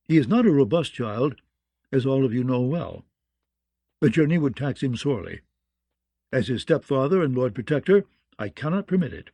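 A man's voice: mid-range voice, very animated, normal speed, creaky, pauses often, warm tone, no echo. Man voicing a sly, unruffled nobleman, close and dry. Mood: smooth, unruffled. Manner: courteous, dry, feigning innocence. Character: sly, unruffled nobleman, mildly mocking schemer. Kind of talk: fantasy audiobook character acting.

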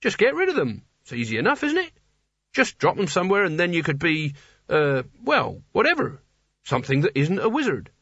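A man's voice: on the high side, huge pitch swings, fairly quick, gravelly, fairly bright tone, slightly rough, no echo, highs cut off, pitched above the performer's own voice, exaggerated voice. A man voicing a naive, earnest, indignant teenage boy in a storybook, hesitant and protesting. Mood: exasperated.

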